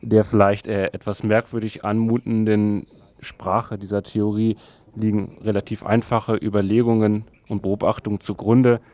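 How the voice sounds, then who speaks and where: A man presenting a semi-scripted radio introduction in an even, flat delivery. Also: lowish voice, moderate pace, no echo.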